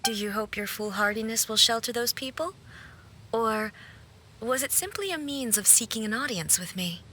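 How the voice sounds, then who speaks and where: A woman playing an aloof immortal ruler in a video game, cold, stately and formal, with haughty deliberate delivery. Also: a little high, animated, bright, forceful tone, slight background noise. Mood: cool, probing.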